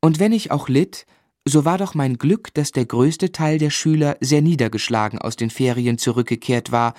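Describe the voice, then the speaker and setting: A man's voice: mid-range voice, a little mellow, no room sound, lightly acted. Man acting as reflective storyteller, dry studio sound. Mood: wry, measured. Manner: measured, articulate, wryly reflective. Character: reflective grown man narrating his boyhood memories. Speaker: narrator. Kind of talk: literary scripted narration in a radio drama.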